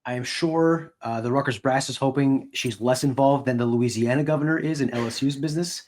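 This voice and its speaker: A man with a medium-pitched voice co-hosts a sports podcast. His commentary is casual and conversational, delivered in a measured way with a wry edge. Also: darkish tone.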